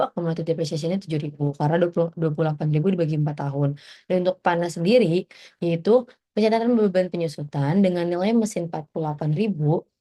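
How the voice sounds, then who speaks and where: Young woman, lowish pitch, dark relaxed tone, informal student presentation, conversational step-by-step explaining. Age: young adult.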